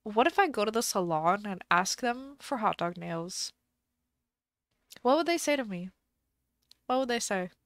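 A woman's voice: mid-range voice, animated, unhurried, pauses often, dry recording. A woman live streaming a game in casual chat, chatty and giggly, her voice slightly stuffy from a cold. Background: faint background music.

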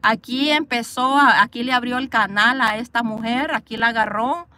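A woman's voice: fairly high pitch, moderate pace, bright, forceful tone. A woman vlogging from a parked car into her phone, bluntly scolding and gossiping as she reads live comments. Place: parked car, outdoors view. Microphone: phone camera.

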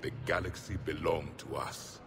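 An actor playing a proud ancient alien soldier in a video game, grave, measured and scornful. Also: male voice, extremely low pitch, fairly even intonation, a bit bright, in a large hall, a little hiss or hum. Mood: wistful. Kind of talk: video game cutscene dialogue.

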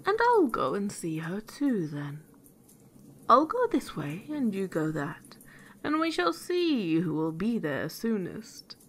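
A woman's voice: medium pitch, wildly swooping pitch, husky, exaggerated voice. A woman voicing a sly, hungry male wolf in a storybook tale, with coaxing, predatory speech. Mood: sly, feigned friendliness. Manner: softened, coaxing, drawn-out speech.

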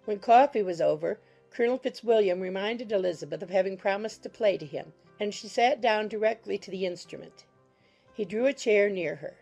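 A woman's voice: medium pitch, brisk, vocal fry, a little mellow, faint music behind. A woman reading scripted literary narration as the narrator of an audiobook.

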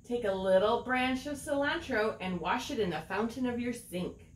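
A middle-aged woman reading a children's picture book aloud in an expressive storytelling manner, drawing out words. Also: on the high side, normal speed, slight background noise. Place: small room at home, indoors. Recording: roomy home recording.

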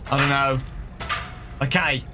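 A man in his twenties giving an excited, casual reaction as a gaming YouTuber. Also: medium pitch, measured pace, noisy background. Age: 20s. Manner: excited, animated. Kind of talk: casual let's play reaction. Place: small bedroom-style room indoors.

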